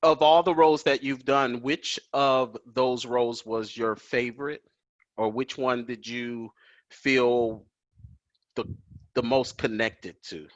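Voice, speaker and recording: A man's voice: medium pitch, fairly even intonation, slow, no echo. A man hosting a home video-call interview, admiring and deferential, often answering "yes, ma'am". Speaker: talk show host. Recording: dull dry video call audio.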